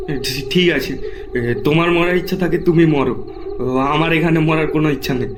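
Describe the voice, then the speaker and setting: Man acting cowardly young male, highish voice, stammering, whiny, horror cartoon, noisy ambience behind. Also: fairly quick, natural voice. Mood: frightened. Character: cowardly, panicky young man afraid of ghosts.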